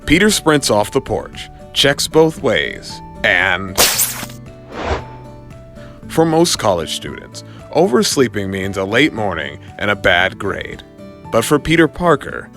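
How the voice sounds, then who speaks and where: A man's voice: medium pitch, a bit bright. A man narrating a scripted audio comic story in a dramatic storytelling style.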